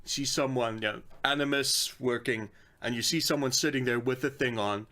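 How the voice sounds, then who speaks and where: A man's voice: mid-range voice, normal speed, sharp tone. A man co-hosting a podcast, talking casually in a blunt, opinionated and sweary way. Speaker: podcast co-host. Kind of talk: casual chat about films and games.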